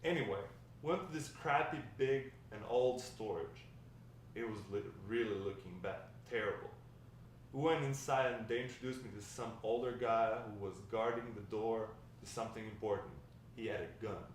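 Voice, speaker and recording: A man playing a nostalgic, talkative Mexican-American grandfather in a table read, warm and encouraging, rambling with hesitant pauses. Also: fairly low pitch, close to an everyday voice. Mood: reminiscing. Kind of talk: amateur table read of a script. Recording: echoey room, distant mic, faint noise.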